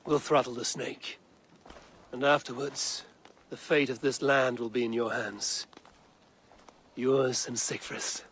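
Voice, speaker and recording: Blunt, grim Viking warrior. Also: male voice, mid-range voice, flat delivery, takes it slowly, pauses often, a bit bright, husky. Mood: firm. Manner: blunt, stern. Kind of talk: video game cutscene acting.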